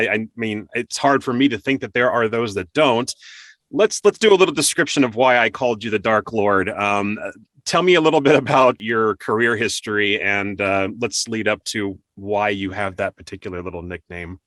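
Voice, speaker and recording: A man's voice: fairly low pitch, moderate pace, fairly bright tone, no echo. A man hosting a podcast interview, chatting casually and playfully with his guest.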